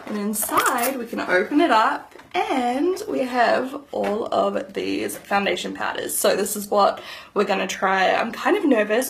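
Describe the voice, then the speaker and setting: A woman in her thirties, a beauty vlogger chatting casually to camera in an upbeat, rambling way, slightly nasal from hay fever. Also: mid-range voice. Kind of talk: casual makeup review to camera.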